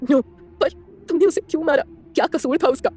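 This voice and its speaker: A woman's voice: high voice, a little fast, darkish tone, soft background music, voice pushed up, close to an everyday voice. A woman playing a tearful, distraught young woman. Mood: tearful, pleading. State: crying.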